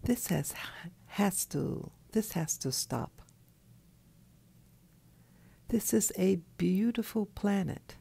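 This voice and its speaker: Hoarse, soft-spoken just-woken woman. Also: mid-range voice, animated. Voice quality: soft, often whispery. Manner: slow, halting with restarts. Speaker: channel host. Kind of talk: early morning monologue. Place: in bed at night. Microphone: clip-on mic.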